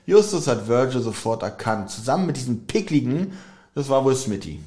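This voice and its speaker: A man's voice: medium pitch, sing-song, normal speed, a little mellow. A young man co-hosting a podcast, chatting casually about an audio drama, joking and laughing often. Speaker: podcast co-host.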